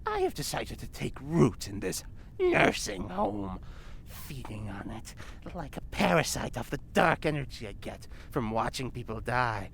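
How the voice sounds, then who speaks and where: A woman's voice: low voice, gravelly, slight background noise, heavily acted. A woman playing an ominous demon old lady who speaks in grandiose, doom-laden pronouncements in an audio drama.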